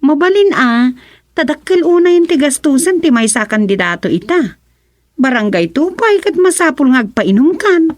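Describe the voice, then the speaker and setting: Lady doing naggy practical townswoman, radio comedy, high pitched, dry recording. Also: big pitch swings, moderate pace, no room sound. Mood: wry. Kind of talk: radio serial comedy drama dialogue in Ilocano.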